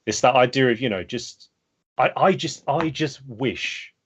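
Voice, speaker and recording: A man's voice: mid-range voice, a little slow, slight background noise. A man from the UK hosting a podcast, chatting casually and rambling with many fillers. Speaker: podcast host. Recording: dull lo-fi remote audio.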